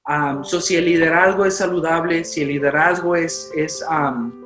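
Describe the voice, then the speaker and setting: A man's voice: a little high, fairly bright tone. Youth pastor guy from chicago, spanish first language, keeps restarting and dropping "so", echoey room with music under it. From Chicago, United States. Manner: halting, many restarts and English fillers like "so". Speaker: youth pastor and podcast guest. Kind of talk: casual interview talk.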